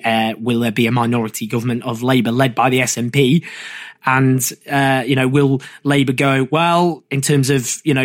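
A male political journalist talks through the campaign in a loose, conversational way, dropping wry, self-mocking asides. His pitch sits in an ordinary middle range. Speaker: political journalist and podcast host. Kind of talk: casual political commentary.

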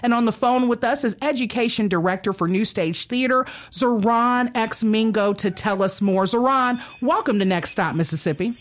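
A woman's voice: a little high, moderate pace, few pauses. A woman hosting a radio magazine show and announcing events in a chatty, informal way from a studio.

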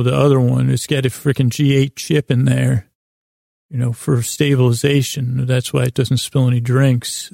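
A man with a gravelly voice, hosting a bedtime podcast in a meandering, halting monologue that often trails off mid-thought. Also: medium pitch, dark tone, no room sound, studio quality. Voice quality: gravelly, creaky. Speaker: podcast host. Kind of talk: rambling bedtime-story monologue.

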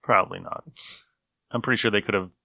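A male host with a fairly low, forceful bright voice chats casually and wryly as he recaps a story. The sound is close and dry. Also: fairly even intonation, quick pace, vocal fry, no room sound. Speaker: podcast host.

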